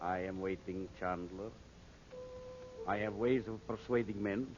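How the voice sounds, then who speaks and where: An actor playing a menacing, domineering villain with an imperious, formal delivery in a 1940s radio serial. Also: male voice, lowish voice, steady tone, unhurried, lightly acted. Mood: cold, menacing. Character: menacing, domineering male villain, cruel and boastful. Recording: muffled old radio recording, music behind.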